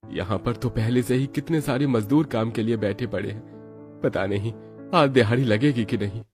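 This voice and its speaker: A man's voice: mid-range voice, normal speed, soft background music, natural voice. A man voicing a poor, worried labourer and father in a cartoon moral story, plaintive and earnest. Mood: anxious. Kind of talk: Hindi animated moral story acting.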